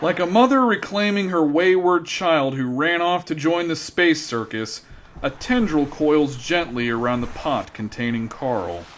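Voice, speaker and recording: A man's voice: a little high, lively intonation, unhurried, slight background noise. A man narrating a comedy radio drama in a mock-grand storytelling manner.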